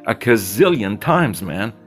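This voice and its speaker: A man's voice: lowish voice, big pitch swings, unhurried, soft background music. A man voicing a slick, blunt male bartender who talks in a teasing, lecturing way.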